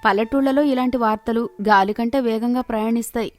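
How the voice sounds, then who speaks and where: Woman narrator for moral tales, higher-pitched voice, scripted storytelling over music. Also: steady tone, brisk.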